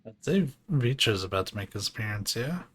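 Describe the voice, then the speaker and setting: A man's voice: on the low side, very animated, a little fast, a bit bright, slightly rough. A man giving casual live gameplay commentary as a streamer. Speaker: game streamer.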